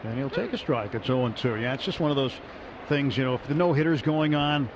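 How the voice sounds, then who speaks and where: A man doing radio commentary on a baseball game, chatting conversationally between pitches. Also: brisk. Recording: slightly muffled radio broadcast with ballpark noise.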